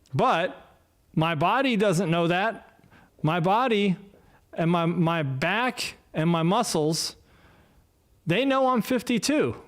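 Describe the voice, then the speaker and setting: A man in his fifties talking casually on a solo livestream podcast, rambling and self-deprecating, with many fillers and restarts. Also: a little high. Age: 50s. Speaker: podcast host. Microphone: desk mic, no headphones.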